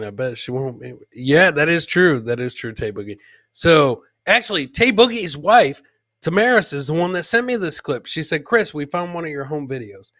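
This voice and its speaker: A man's voice: medium pitch, a bit bright, no room sound, clean studio sound. A man hosting a live comedy clip show, bantering casually and jokily with his co-host and chat. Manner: jokey, addresses co-host and live chat by nickname.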